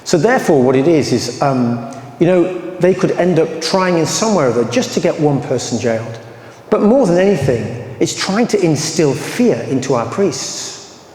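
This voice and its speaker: English guy making a heated case at a public meeting, bit higher voice, big echoey hall. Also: sing-song, normal speed, a little mellow. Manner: emphatic, rising to anger, then apologetic. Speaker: survivor advocate presenting to a meeting. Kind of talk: impassioned public talk. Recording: roomy echoey hall with reverb.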